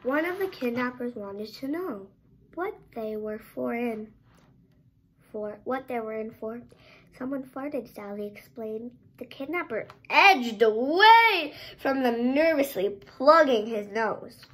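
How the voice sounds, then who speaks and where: A young girl reading a story aloud with dramatic, drawn-out emphasis, sometimes stumbling over words. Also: on the high side, animated, rough recording. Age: child, around 8 to 10. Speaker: child presenter reading a picture book aloud. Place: bedroom, indoors.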